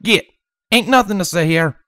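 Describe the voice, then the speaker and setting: A man's voice: high voice, normal speed, a bit bright, dry recording, pitched above the performer's own voice. A man puts on a tough teenage girl with a thick Southern drawl, blunt and threatening. The voice is raised well above his own and recorded dry and close. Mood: commanding. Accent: American Southern.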